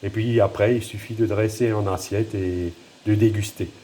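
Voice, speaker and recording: Low-voiced man with a strong accent. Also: steady tone, normal speed, dark tone, slight background noise. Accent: noticeable regional French accent. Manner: hesitant, self-deprecating, thinking aloud. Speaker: home cook. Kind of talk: casual cooking demonstration. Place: home kitchen. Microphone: handheld phone.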